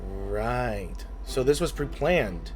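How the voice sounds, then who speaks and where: A man's voice: mid-range voice, animated, very slow, slight background noise. A man hosting a gameplay video, commenting casually and thinking aloud with informal interjections. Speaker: gaming video host.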